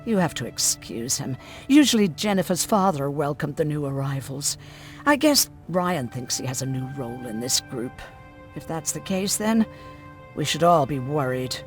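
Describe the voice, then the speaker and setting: A woman's voice: low voice, big pitch swings, normal speed. A hardened woman medic who has survived too much, for a video game. She speaks in a matter-of-fact, bitter way, middle-aged and weary, with dry irony. Music plays behind her. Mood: resigned, wary. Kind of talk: video game drama acting.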